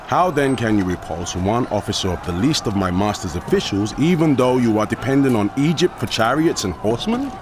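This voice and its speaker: A man's voice: mid-range voice, lively intonation, brisk, hardly any pauses, close to an everyday voice. A man playing an arrogant, taunting Assyrian military commander in a dramatized audio scripture, declaiming with mocking force. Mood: mocking, scornful. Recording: noisy outdoor ambience behind.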